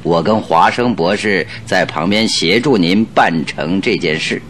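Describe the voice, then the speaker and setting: An actor playing a clever, self-satisfied detective in a Mandarin radio drama, explaining things with assured, theatrical emphasis. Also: male voice, deep voice, measured pace, fairly bright tone, a little hiss or hum. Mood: confident.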